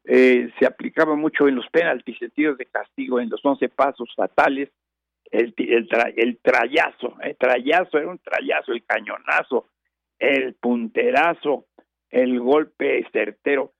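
Older Mexican radio host, Mexican Spanish accent, very gravelly, rambling with restarts, tinny phone-line sound. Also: male voice, medium pitch, unhurried, dry recording. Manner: digressive, many fillers and restarts. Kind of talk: rambling radio chat and reminiscence.

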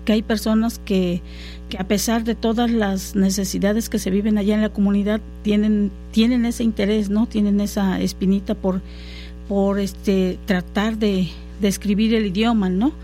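A woman's voice: medium pitch, flat delivery, moderate pace, warm tone, soft background music. A woman whose first language is Totonac, speaking in a conversational interview, hesitant with many fillers and restarts. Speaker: guest interviewee.

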